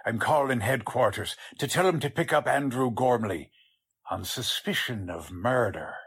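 An actor playing a gruff, hot-headed police sergeant who talks in blunt, emphatic slang, in the style of old-time radio drama. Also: male voice, mid-range voice, normal speed, fairly bright tone. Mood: decisive, blustering. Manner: blunt, emphatic, street-slang cop talk.

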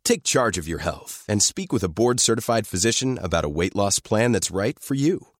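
A man delivering a polished advertisement read at a steady, measured pace. His voice sits on the low side and the recording is close and dry. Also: no echo. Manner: smooth, measured promotional delivery. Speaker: ad announcer. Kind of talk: scripted ad read.

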